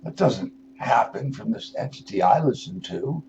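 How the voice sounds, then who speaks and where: Older man, gravelly mellow voice, rambling philosophical radio monologue, halting delivery with emphatic stresses, dull lo-fi sound. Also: mid-range voice, very animated. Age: 60s or older. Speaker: radio show host. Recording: dull lo-fi radio audio with noise.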